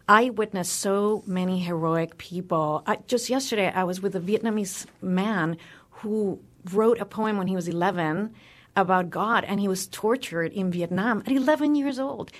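A woman's voice: medium pitch, moderate pace. A woman with a Cuban American accent, speaking passionately as a guest in a radio interview. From United States. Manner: passionate, emphatic. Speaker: guest, religious freedom advocate.

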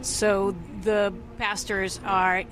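A woman's voice: mid-range voice, flat delivery, slow pace, over music. A woman commissioner on religious freedom, speaking earnestly and fluently as a guest in a news interview.

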